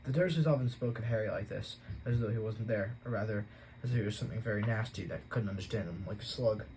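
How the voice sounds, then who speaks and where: A man reading an audiobook aloud at a steady pace, sometimes slipping and correcting himself. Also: lowish voice, fast talker, a little hiss or hum, low-quality recording. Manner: steady reading, occasional slips and self-corrections. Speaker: audiobook reader. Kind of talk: amateur audiobook narration.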